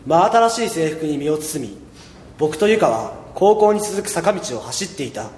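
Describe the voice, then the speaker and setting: A man playing a laid-back, dryly sarcastic high school boy who narrates and acts in an amateur radio drama. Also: animated, moderate pace, darkish tone, a little hiss or hum, lightly acted. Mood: wry, reflective. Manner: dry, mildly sarcastic. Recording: echoey, long reverb.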